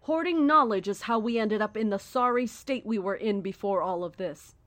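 A woman playing a calm, zealous cult leader in a horror audio drama, speaking in a measured, formal, persuasive way. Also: mid-range voice, natural voice. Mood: solemn, instructive.

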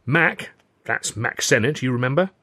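A man's voice: mid-range voice, lively intonation, moderate pace, pushed and bright, no room sound, voice pushed up. A man voicing a vain, self-satisfied film comedian in an audiobook, boastful, theatrical and emphatic.

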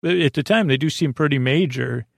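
A man with a creaky voice hosting a sleep podcast, telling meandering stories in a halting, digressive, self-deprecating way. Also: a little high, dry recording, clean studio sound. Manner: halting, digressive, full of false starts and trailing tangents; self-deprecating, gently absurd musing. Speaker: podcast host.

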